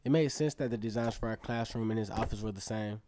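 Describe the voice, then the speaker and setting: A man's voice: lowish voice, fast talker, warm tone, slight background noise, natural voice. An actor playing an earnest teenage acrobat who narrates his story in a reflective, conversational way in a radio drama. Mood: matter-of-fact. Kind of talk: first-person narration in an Afrofuturist radio drama.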